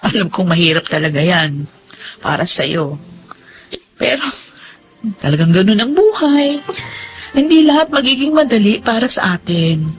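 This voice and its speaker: Woman acting a frank, brisk young Filipina student. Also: mid-range voice, animated, faint music behind, natural voice. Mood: earnest, firm. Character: spirited, outspoken working student, a young woman. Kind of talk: Filipino radio drama acting.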